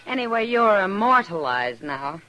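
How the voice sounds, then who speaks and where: A woman's voice: mid-range voice, very animated, moderate pace, pushed and bright. An actress playing a wry, frank frontier saloon woman in a 1950s radio western. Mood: teasing. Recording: faintly noisy 1950s radio recording.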